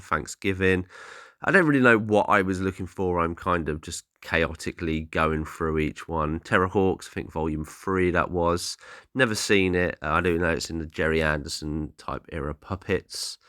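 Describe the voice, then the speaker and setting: A man with a slightly hoarse, croaky voice, giving a casual, meandering, apologetic voice-over commentary as a vlogger. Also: very deep voice, a bit bright, no room sound, clean studio sound. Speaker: vlogger, film collector.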